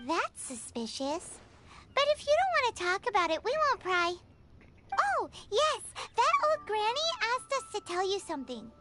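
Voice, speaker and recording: A tiny, childlike fairy chirps in an extremely high, squeaky voice. The delivery is animated and excitable, like a curious game sidekick. Bright background music plays clearly underneath. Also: female voice, moderate pace. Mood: curious, teasing. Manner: speaks of self in third person, excitable. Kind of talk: video game dialogue acting.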